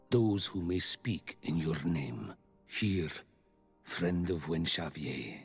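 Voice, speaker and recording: Deep, slow, husky healer. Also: male voice, full character voice. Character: solemn, kindly male native healer, mature, wise. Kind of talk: video game cutscene acting.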